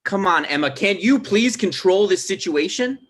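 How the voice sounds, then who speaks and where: A man's voice: high voice, animated, normal speed, a bit bright. A man playing a touchy, aggrieved mayoral candidate and grown son in a comedic table read, earnest and exasperated.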